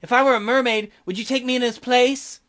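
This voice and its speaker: A brave, desperate young woman diver, urgent and direct, read by a man in his own voice. The voice is high and bright. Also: fairly even intonation, a little fast.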